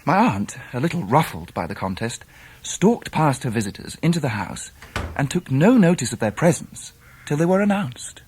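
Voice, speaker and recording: An actor playing a grown man recounting his boyhood in measured, literary English-accented narration for a radio drama. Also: mid-range voice, sing-song, darkish tone, a little hiss or hum, lightly acted. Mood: lively. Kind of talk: scripted first-person narration, radio drama.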